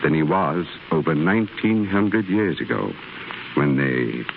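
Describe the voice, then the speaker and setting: A man playing an older male stonecutter who talks to a younger man in a gentle, fatherly, measured way. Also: a bass voice, lively intonation, heavily acted. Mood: earnest. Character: older male stonecutter, kindly earnest mentor. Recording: tinny noisy 1930s radio broadcast.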